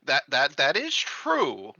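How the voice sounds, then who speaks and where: A man's voice: on the high side, huge pitch swings, slow, vocal fry, pushed and bright, no room sound, muffled recording. A man chatting casually as a player in a tabletop game, jokey and often repeating his words as he stammers along. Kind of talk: casual gaming chat.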